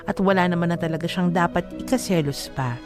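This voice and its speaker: A woman's voice: lowish voice, fairly quick. Woman radio co-host over music. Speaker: radio co-host and letter reader. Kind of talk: dramatic letter reading and casual banter. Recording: music bed under the voice.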